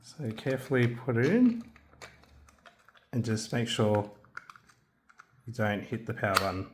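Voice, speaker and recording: A man narrating a hands-on assembly tutorial in a casual, careful, unscripted way, pausing as he works. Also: medium pitch, animated. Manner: unscripted, careful, halting while working with hands. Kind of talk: casual step-by-step instructional narration. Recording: background noise with clicks and handling sounds.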